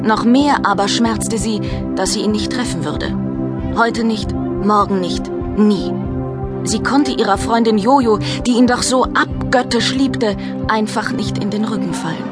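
A woman narrates a children's audiobook in a scripted storytelling style. Her voice is mid-pitched, with music clearly playing behind her.

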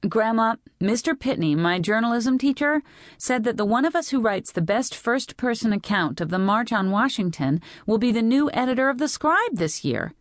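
A woman's voice: on the high side, lively intonation, normal speed, dry recording, clean studio sound, voice pushed up, lightly acted. A woman voicing a stubborn, indignant teenage girl in an audiobook, heated and insistent as she protests. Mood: pleading, aggrieved. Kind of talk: audiobook character voice.

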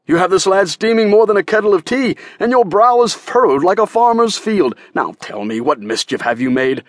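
A man's voice: high-pitched, expressive, moderate pace, dry recording, studio quality, pitched above the performer's own voice. A man reading an audiobook, voicing a cocky, prominent merchant in a hearty, teasing way.

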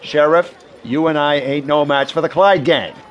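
An actor playing a wry, reluctant Old West doctor with an American accent in a radio western. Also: male voice, fairly high pitch, lo-fi sound, slight background noise, natural voice. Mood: argumentative. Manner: sardonic, drawn-out protests. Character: wry, reluctant frontier town doctor and sharpshooter.

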